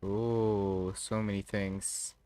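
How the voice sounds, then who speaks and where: Slow, halting male game streamer. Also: on the low side, little pitch movement, mellow, clean tone, dry recording, soft background music. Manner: halting, muttering to himself, mild swearing. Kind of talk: casual live gaming commentary, thinking aloud.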